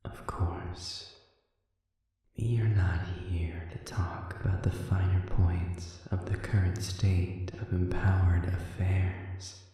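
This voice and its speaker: A performer voicing a sadistic male demon prisoner in an audio roleplay, speaking formally and sardonically with a taunting, manipulative silkiness that often sinks toward a breathy whisper. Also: sits low, dark tone. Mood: ominous, taunting. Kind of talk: slow audio roleplay monologue addressed to listener. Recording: cavernous echoing reverb, distant and muffled.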